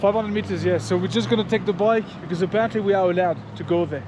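A man's voice: sits high, fast talker, background noise. A man in his thirties hosting a casual travel vlog, chatting with locals and the camera outdoors and in a small restaurant. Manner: friendly, chatty, many fillers and "guys" to camera. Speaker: motovlogger host. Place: outdoors in a mountain village and a small roadside restaurant.

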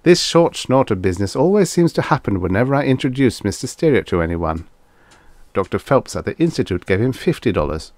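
Man as earnest hotel manager, animated intonation. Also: mid-range voice, brisk, dry recording, voice pushed up, close to an everyday voice. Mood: rueful, earnest. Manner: earnest, explanatory. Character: talkative, explanatory male hotel manager.

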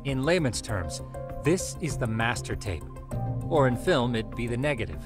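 An actor playing a geeky, composed young tech expert in a video game, explaining things in a measured way. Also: male voice, mid-range voice, big pitch swings, music in the background, lightly acted. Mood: explanatory. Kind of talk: video game cutscene dialogue.

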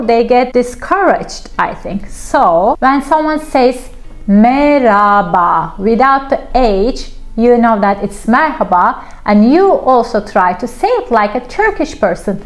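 A woman in her thirties teaching a casual video lesson at home, animated and playful with lots of gestures. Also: on the high side. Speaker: language teacher. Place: home room with plants.

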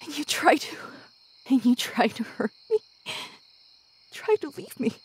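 A voice actress playing a sadistic, possessive female demon lover in an intimate ASMR roleplay, breathy and lingering, often dropping into a whisper, swinging between coaxing and menace. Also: sits high, very animated, measured pace, heavily acted, poor audio. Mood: enraged, hurt.

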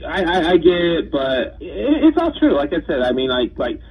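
A man's voice: on the high side, wildly swooping pitch, moderate pace, crowd noise. A man speaking casually and defensively on a phone call, hesitant and stammering. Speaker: surprised call-in guest on a radio prank call. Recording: muffled, husky phone line from far off.

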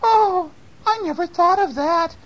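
A woman voicing a fretful, tearful old lady from a children's storybook, with a wavering, drawn-out delivery. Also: lo-fi sound. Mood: relieved, grateful. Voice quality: high, wavering.

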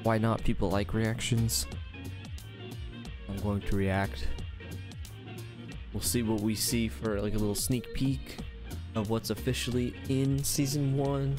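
A young man giving casual, excited reaction commentary as a video host, with slangy, profane exclamations. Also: fairly low pitch, unhurried, pauses often. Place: indoor streaming room at home. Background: music and game trailer audio.

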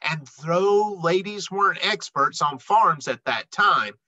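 A middle-aged man reading a children's picture book aloud expressively, with emphatic, dramatic phrasing and drawn-out words. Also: sits high, lively intonation, sharp tone, dry recording, lo-fi sound. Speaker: read-aloud storyteller.

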